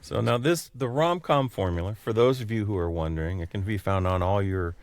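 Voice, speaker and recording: A man with a fairly low voice, recorded dry and close. He hosts a casual podcast chat, wandering through his sentences in a joking, hesitant way. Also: a little fast, no room sound. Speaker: podcast co-host. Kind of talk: casual chatty interviewing.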